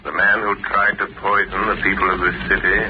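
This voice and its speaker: An actor playing a mysterious, commanding crime fighter with deliberate, theatrical delivery in a 1930s radio drama. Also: male voice, sits low, level tone, background noise, natural voice. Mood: assured, formal. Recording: muffled 1930s radio recording, loud music.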